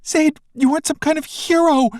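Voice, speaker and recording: A man voicing a frightened, stubborn young woman student in a storybook reading, with shrill squeals and screams. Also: steady tone, normal speed, a little mellow, no echo, clean studio sound, lightly acted. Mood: panicked screaming.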